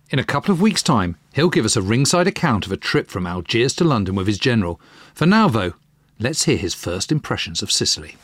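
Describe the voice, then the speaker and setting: Male presenter, mid pitch, very animated delivery, scripted history narration. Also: no room sound, clean studio sound. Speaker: podcast presenter. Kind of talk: scripted narration introducing diary readings.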